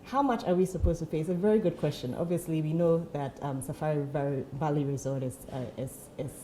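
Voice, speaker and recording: A woman's voice: low voice, fairly even intonation, brisk, dark tone, slight background noise, rough recording. A woman from Ghana, an education program founder, answering earnestly in a TV interview with frequent fillers.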